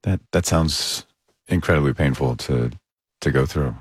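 A man with an extremely low voice works as an interviewer, phrasing gentle questions tentatively and with pauses. The sound is close and dry, with very little room around it. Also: husky, no echo. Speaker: interviewer, sports show host.

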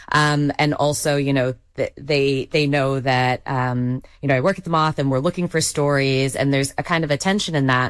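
Very low-voiced woman, earnest director. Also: dry recording, studio quality. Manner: earnest, thoughtful, many "um"s. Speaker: story director. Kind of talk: panel discussion.

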